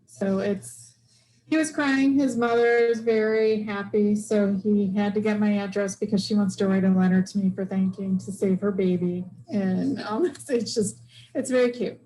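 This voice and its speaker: Brief: middle-aged woman, warm mellow tone, chatty and halting, sharing news at a meeting. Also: mid-range voice, fairly even intonation, poor audio. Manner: chatty, halting, many ums. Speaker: club member sharing news. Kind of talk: casual personal story. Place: club meeting room. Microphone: handheld microphone.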